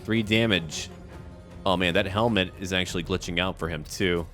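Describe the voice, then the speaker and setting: A man's voice: deep voice, normal speed, fairly bright tone, faint music behind. A man giving casual let's-play commentary, thinking aloud and chatting to the characters on screen as he plays. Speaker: gaming video host playing and narrating.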